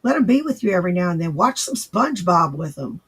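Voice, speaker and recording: Raspy high-voiced man recovering from laryngitis. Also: big pitch swings, fast, fairly bright tone. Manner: rambling, profane, folksy. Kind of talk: casual vlog reply.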